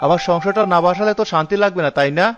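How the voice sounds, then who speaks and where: A man playing a stingy, grumbling newlywed husband in a cartoon, blunt and quick to scold. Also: high voice, lightly acted. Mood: irritated. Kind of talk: cartoon dialogue acting. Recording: dull lo-fi sound with music behind.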